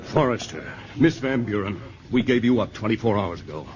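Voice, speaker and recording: An actor playing a stern army general briefing officials in a 1950s radio drama, grave and commanding. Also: male voice, on the low side, lively intonation, normal speed, dull audio, natural voice. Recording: old radio broadcast with faint hiss.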